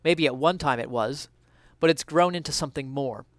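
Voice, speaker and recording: Man, smooth clear voice, lightly raised pitch, dramatic essay reading, earnest theatrical phrasing, close dry sound. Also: moderate pace, no echo. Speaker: reader of an essay.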